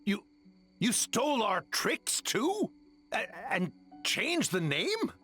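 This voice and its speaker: A proud old-guard tanuki mentor from a video game. His high voice swings wildly up and down as he blusters and fusses theatrically, over background music. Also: wildly swooping pitch, takes it slowly, pushed and bright, exaggerated voice. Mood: suspicious. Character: excitable, proud, fussy male tanuki elder and mentor. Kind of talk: English video game voice acting.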